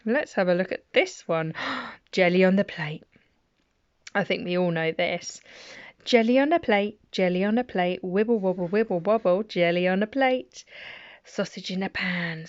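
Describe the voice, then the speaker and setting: A middle-aged woman, reading nursery rhymes aloud as a bedtime story, with gentle chatty asides. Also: fairly low pitch, measured pace, smooth, no room sound, highs cut off.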